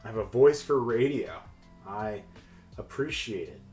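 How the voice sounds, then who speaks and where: Upbeat streamer dude joking around at a card game, bouncy voice, faint music behind. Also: male voice, on the low side, expressive, measured pace, a little mellow. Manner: joking, upbeat, laughs often. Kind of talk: casual chat while streaming.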